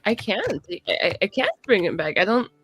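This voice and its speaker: A woman's voice: medium pitch, big pitch swings, normal speed, background noise. A woman improvising in character at a tabletop roleplaying game, faltering and repeating words as she goes.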